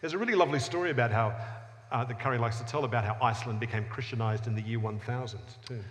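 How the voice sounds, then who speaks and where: A man at a book launch talking quickly with dry, joking humor. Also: mid-range voice, fairly bright tone. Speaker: author and co-host of the talk. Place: church hall. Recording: echoey hall with long reverb and audience.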